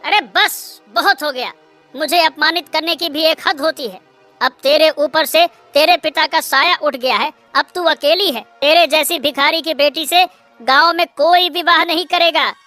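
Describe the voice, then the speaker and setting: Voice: high voice, moderate pace, sharp tone, no room sound, faint music behind. A performer voicing an arrogant young male suitor in a Hindi cartoon, condescending and boastful. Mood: angry, scornful.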